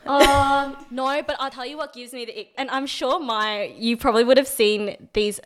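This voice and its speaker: A woman, hosting a casual podcast chat, lively and quick to laugh and swear. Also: high voice. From Australia. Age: 20s. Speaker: podcast host.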